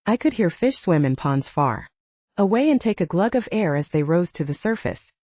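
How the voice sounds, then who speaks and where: A woman reads a first-person audiobook story in a mellow, fairly low voice. Her pitch moves around a great deal as she narrates. The recording is a little dull. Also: sing-song, moderate pace, no echo.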